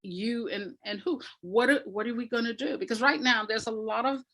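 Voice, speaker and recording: A woman speaking as an interview guest, answering conversationally in a reflective, emphatic way with pauses between phrases. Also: a little high, sharp tone. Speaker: interview guest, arts practitioner.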